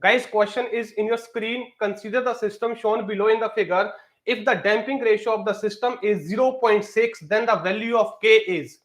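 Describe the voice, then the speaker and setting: High-voiced man teaching a live stream. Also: fairly even intonation, moderate pace, fairly bright tone. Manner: repeats pleas for comments, many fillers. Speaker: exam prep tutor.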